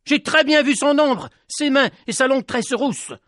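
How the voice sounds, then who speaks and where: A man voicing Petit Jean, an impulsive young peasant, in a high, strained voice while reading his storybook aloud. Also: very animated, normal speed, dry recording, studio quality. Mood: furious. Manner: high strained pleading and shouting.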